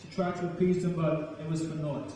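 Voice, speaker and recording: High-voiced New man in echoey hall. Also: level tone, a little fast, warm tone. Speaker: poet, guest performer. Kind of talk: live spoken-word performance with informal remarks to an audience. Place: campus event hall, live audience. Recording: echoey hall, distant mic, faint noise.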